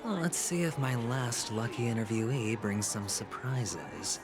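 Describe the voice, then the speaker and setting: A man's voice: medium pitch, a little slow, close to an everyday voice. A man voicing a cunning young gambler in a video game, breezy, theatrical and probing, over music. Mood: musing. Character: smooth, cunning young male gambler posing as an investigator.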